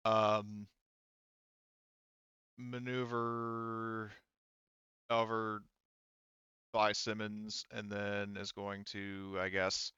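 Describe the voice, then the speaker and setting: Guy gm-ing a tabletop rpg, clean lowish voice, thinks out loud and swears, kinda muffled lo-fi audio but no room echo. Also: clean tone. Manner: halting, thinking aloud, profane. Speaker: game master. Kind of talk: running a tabletop roleplaying game, improvised narration.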